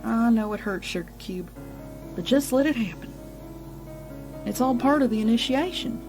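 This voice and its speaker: A woman's voice: fairly high pitch, expressive, normal speed, over music. A woman voicing an adult pony big sister who coaxes with sinister reassurance in a dramatic fan fiction reading. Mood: soothing, sinister. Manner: coaxing, falsely reassuring. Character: adult pony big sister, sweetly soothing yet sinister cult initiator.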